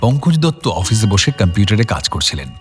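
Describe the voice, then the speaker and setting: A male narrator reads a scripted drama in a deep voice. His delivery is expressive, with wide intonation over a light music bed. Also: quick pace.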